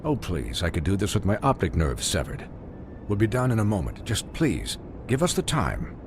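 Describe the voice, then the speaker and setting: An actor playing a dry-witted, weary doctor in a video game, formal, courteous and a little condescending. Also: male voice, on the low side, wildly swooping pitch, normal speed, noisy background. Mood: impatient, polite.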